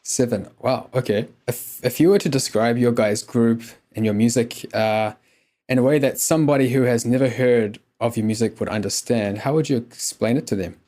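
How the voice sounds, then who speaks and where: A man hosting a podcast who introduces the show and asks the guest questions in a relaxed, conversational way. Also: mid-range voice, normal speed. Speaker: podcast host.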